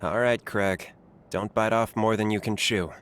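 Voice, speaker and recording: An actor playing a laid-back, dry-witted fighter and reluctant hero in a game cutscene, understated in manner. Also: male voice, fairly low pitch, steady tone, unhurried, a bit bright, clean tone. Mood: wry, casual. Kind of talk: dramatic game cutscene dialogue.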